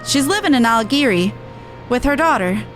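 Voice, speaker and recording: Brisk, earnest charity merchant for a game, high female voice, colloquial and plain-spoken, played by a woman. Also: expressive, soft background music, close to an everyday voice. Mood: determined. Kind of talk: reading video game dialogue in character.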